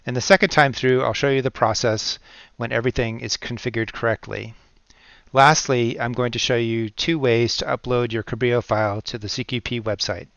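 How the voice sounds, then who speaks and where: An American man giving an informal step-by-step software tutorial, thinking aloud as he works through each step. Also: mid-range voice, clear, resonant tone, no echo, highs cut off. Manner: thinks aloud while working through steps. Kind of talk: step-by-step screen-recording tutorial narration, informal.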